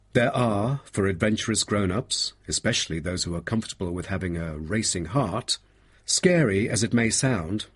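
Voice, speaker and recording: Man as park tour guide for a listening exam: low, slightly rough voice, welcoming, informative, measured scripted read. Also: exaggerated voice. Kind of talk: scripted informational talk to visitors.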